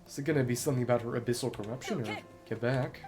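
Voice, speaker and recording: A man's voice: medium pitch, very fast. A man giving casual let's-play commentary on a video game, thinking aloud as he plays. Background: game music.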